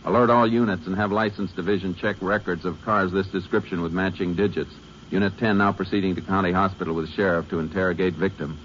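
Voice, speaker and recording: A man playing a calm deep-voiced lawman. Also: quick pace, noisy background, lightly acted. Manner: calm, methodical questioning. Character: calm, steady male Texas lawman, middle-aged. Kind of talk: 1950s radio drama acting. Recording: dull lo-fi old radio recording.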